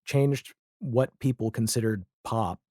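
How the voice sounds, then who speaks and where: A man's voice: mid-range voice, slow pace, mellow, no room sound, studio quality. A man speaking as a podcast guest in a casual, reflective interview conversation, explaining his thoughts with examples. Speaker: podcast guest, audio drama creator.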